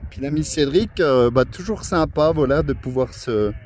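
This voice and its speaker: A man with a slightly high voice talks casually, like a radio hobbyist commenting as he goes. His delivery is friendly and musing, with frequent hesitation. The recording is made outdoors and carries some background noise. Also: moderate pace, darkish tone. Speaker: amateur radio operator. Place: outdoors at a hilltop radio spot.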